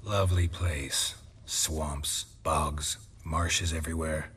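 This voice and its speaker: An actor voicing a gruff, weathered swordsman in a video game, gravelly, curt and deadpan. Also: extremely low pitch, slow pace, heavily acted. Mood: dry, curt. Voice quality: gravelly, husky. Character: gruff, terse, weathered monster-hunter swordsman.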